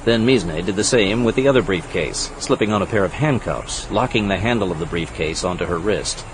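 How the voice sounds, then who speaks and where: Deep voiced guy narrating an action audiobook, loud engine and outdoor effects behind. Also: fairly quick, background noise. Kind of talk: scripted dramatic narration of an action thriller.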